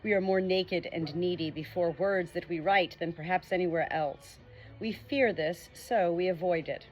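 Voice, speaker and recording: A woman reading a nonfiction book chapter aloud as an audiobook, with earnest, expressive emphasis. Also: on the low side, moderate pace, soft background music. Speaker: audiobook reader.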